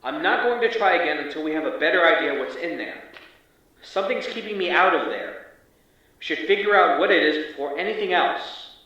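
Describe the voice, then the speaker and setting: Echoey, distant, wary field agent. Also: male voice, on the high side, lively intonation, brisk, pushed and bright. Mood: exasperated, defiant. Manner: sighing, hesitant, irritable. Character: wary, exasperated male field agent exploring an anomalous hallway. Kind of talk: audio drama acting. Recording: distant, muffled, echoey hallway.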